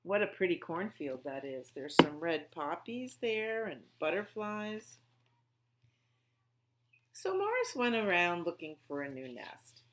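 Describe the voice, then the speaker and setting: Slow, animated woman hosting children's storytime. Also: fairly low pitch, a little hiss or hum. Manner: expressive, simple explaining to small children. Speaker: children's library storytime host. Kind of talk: storybook read-aloud and songs for young children.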